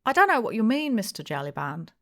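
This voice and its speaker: A woman's voice: medium pitch, sing-song, fast, fairly bright tone, pitched above the performer's own voice. A woman voicing a querulous, sententious old village man in an audiobook, with feeble, complaining rustic speech. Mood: feebly protesting.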